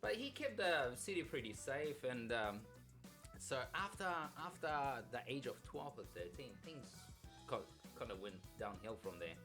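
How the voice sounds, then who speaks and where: A man's voice: mid-range voice, very animated, unhurried, a bit bright. Informal man from Afghanistan, music behind him. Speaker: podcast co-host. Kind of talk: casual personal storytelling.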